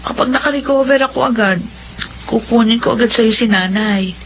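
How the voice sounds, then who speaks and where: An actress playing an apologetic older sister in a radio drama, pleading for forgiveness. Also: female voice, fairly high pitch, a little fast, a bit bright, close to an everyday voice. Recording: dull lo-fi radio recording, faint hiss.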